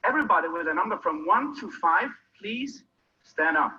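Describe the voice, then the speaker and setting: A man's voice: high voice, expressive, normal speed, sharp tone, breathy edge. A man presenting a workshop, walking the audience through a quiz step by step. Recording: muffled lo-fi recording.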